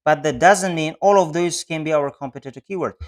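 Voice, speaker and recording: A man presenting an online software tutorial, explaining each step methodically and repeating key points. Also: a little high, a little fast. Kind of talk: step-by-step screen-share tutorial narration. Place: voice-over on a screen recording.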